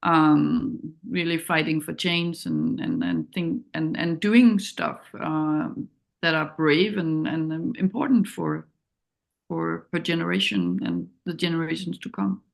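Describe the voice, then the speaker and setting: A woman's voice: deep voice, very animated, measured pace, gravelly. A woman from Denmark with a Danish accent, speaking as a film director in an interview, reflective and searching for words. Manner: hesitant, thoughtful, searching for words. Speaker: documentary director, interview guest.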